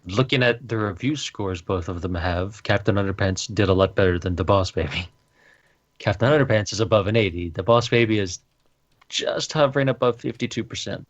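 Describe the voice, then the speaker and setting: Deep-voiced man on a dull mic. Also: fairly quick. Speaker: podcast co-host. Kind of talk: casual discussion.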